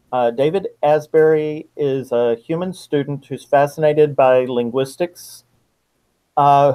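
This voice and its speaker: A middle-aged man answering interview questions as a guest author, in a measured, reflective manner. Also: on the high side, dark tone, poor audio. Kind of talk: interview answers about writing.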